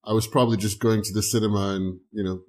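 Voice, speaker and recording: A man's voice: fairly low pitch, level tone, fast, darkish tone. A man hosting a casual podcast interview, often affirming with yeah and restarting his sentences. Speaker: podcast host.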